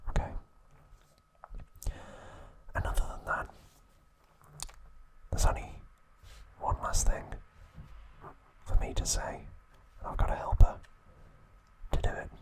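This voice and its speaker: Guy breathy whispering asmr, real slow and soft. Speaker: ASMR channel host.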